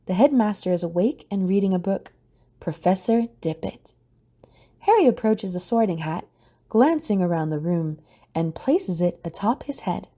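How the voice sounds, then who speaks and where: A woman reading scripted story narration for an audio drama in a mellow, husky voice. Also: medium pitch.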